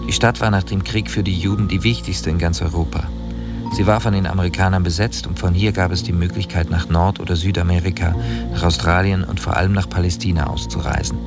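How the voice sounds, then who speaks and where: A former partisan recalls his postwar work in a low, level voice. He speaks as plain documentary testimony in a radio play, with music running beneath. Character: male former partisan, liaison for escape network.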